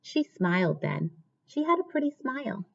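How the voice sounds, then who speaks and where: A woman's voice: sits high, sing-song, moderate pace, gravelly. Woman reading a storybook, slightly dull sound. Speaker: teacher reading aloud to children. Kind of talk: storybook read-aloud with questions to listeners.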